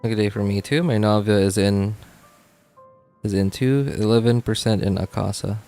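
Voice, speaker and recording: A man's voice: fairly low pitch, moderate pace, warm tone, faint music behind. A man chatting casually on a game livestream, thinking aloud in a rambling way and often repeating himself. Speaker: game streamer. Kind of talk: casual livestream chat while playing.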